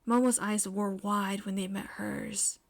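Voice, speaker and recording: A woman reading scripted fiction aloud as an expressive podfic narrator. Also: medium pitch, measured pace, vocal fry.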